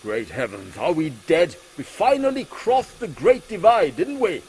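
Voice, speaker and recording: A man's voice: on the high side, huge pitch swings, measured pace, darkish tone, slightly rough, full character voice. A man voicing a gallant, old-fashioned British captain with a lisp and an English accent, in a steampunk audio comedy. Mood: dazed, bewildered. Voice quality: lisping. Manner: blustering, astonished.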